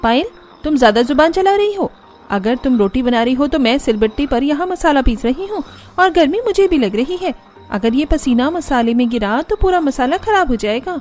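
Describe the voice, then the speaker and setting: A woman's voice: sits high, lively intonation, normal speed, darkish tone, faint music behind. A woman voicing an assertive, irritable elder sister-in-law in a cartoon story. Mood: irritated.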